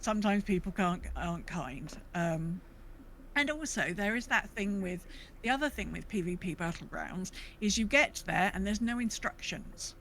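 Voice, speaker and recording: A woman's voice: medium pitch, animated, moderate pace, a bit bright, a little hiss or hum, poor audio. A woman chatting casually and enthusiastically, as a co-host on a gaming podcast.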